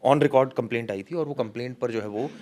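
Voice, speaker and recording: Male reporter explaining in halting phrases. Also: medium pitch, mellow. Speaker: news reporter giving case details. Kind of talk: unscripted news discussion, explanatory.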